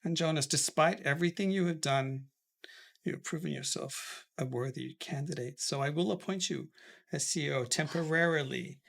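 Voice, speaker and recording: Guy doing a gruff old family boss dad, gravelly and husky, bossy drama voice. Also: on the high side, normal speed, a bit bright. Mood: weary. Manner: commanding, outraged then tender. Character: stern aging male patriarch, family company head. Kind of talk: melodrama acting.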